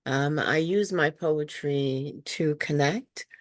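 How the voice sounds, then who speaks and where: A woman spoken word artist from Saint Lucia introduces herself with a low voice. She speaks reflectively, often pausing on ums. The sound is dry. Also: dry recording.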